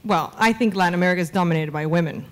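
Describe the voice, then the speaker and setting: A woman's voice: fairly low pitch, racing, slight background noise. A woman in her thirties from Venezuela, a media executive answering onstage interview questions into a handheld mic, fluent with frequent "um" fillers. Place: conference stage before a seated audience. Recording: reverberant conference hall.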